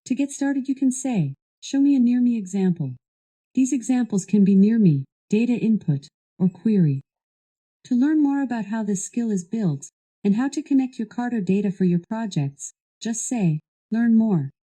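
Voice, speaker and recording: A woman's voice delivering scripted instructional narration as a voice assistant, in a measured, even and formal way. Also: a little high. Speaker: voice assistant guiding a product demo.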